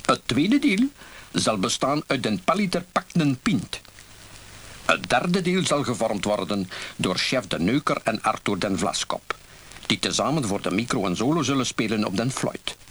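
An actor playing a grandiose show announcer in a radio play, speaking with ceremonious bombast. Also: male voice, medium pitch, big pitch swings, normal speed, fairly bright tone, husky. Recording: music behind the voice.

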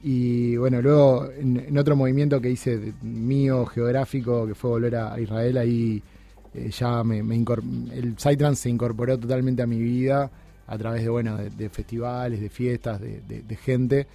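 Un hombre uruguayo de treinta y tantos, invitado en una radio, habla con muchas pausas, titubeos y repeticiones. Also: male voice, mid-range voice, level tone, vocal fry, warm tone, soft background music. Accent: Uruguayan Spanish. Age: 30s. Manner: halting, many restarts and fillers. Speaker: guest. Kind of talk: casual interview chat. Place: radio studio.

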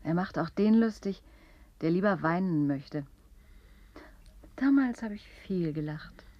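A woman's voice: fairly low pitch, expressive, measured pace, no room sound, dull audio. An actress playing a worldly, tragic barfly woman reliving lost love, wistful and theatrical, in a radio drama. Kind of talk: German radio drama acting.

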